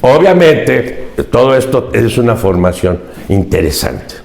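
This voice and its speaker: A man's voice: mid-range voice, very animated, a little slow, gravelly, darkish tone, echoey room. A Mexican man in his seventies, a podcast guest recounting his life in an earnest, emphatic way and often repeating key phrases. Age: 70s. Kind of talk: reflective interview storytelling.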